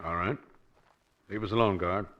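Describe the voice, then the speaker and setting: An actor playing a stern, stubborn warden with a clipped, firm manner in 1940s radio drama. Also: male voice, low-pitched, normal speed, highs cut off, natural voice. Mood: brusque. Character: stern, stubborn, authoritative male prison warden. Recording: faintly noisy old radio recording.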